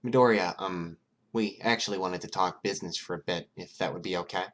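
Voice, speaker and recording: Casting: awkward, determined teen boy voiced by a man, earnest, slightly halting, storybook dialogue. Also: low voice, fairly bright tone. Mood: nervous.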